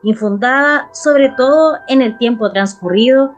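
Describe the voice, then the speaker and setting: A woman's voice: fairly high pitch, a little slow, a little hiss or hum. A woman narrating a literary story in a dramatized radio reading. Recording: music underneath.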